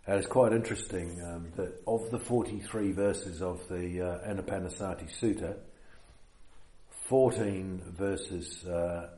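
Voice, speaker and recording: A man with a New Zealand accent leading a meditation retreat, giving an unhurried dharma talk with many fillers. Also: low-pitched, steady tone, dark tone, reverberant.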